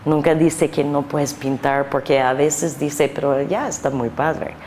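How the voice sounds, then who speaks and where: Older woman, american accent, english native, very deep mellow voice, slow halting talk, long reverb and faint hiss. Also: steady tone. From United States. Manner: halting, mixes Spanish and English. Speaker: guest artist. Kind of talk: interview answers.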